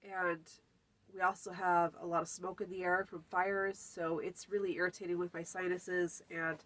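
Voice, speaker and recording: A woman's voice: on the low side, flat delivery, moderate pace, a bit bright, poor audio. A woman hosting a folk magic channel, talking casually through a how-to with a stuffy, runny nose and lots of fillers. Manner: chatty, many fillers, lists items. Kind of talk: casual spoken tutorial.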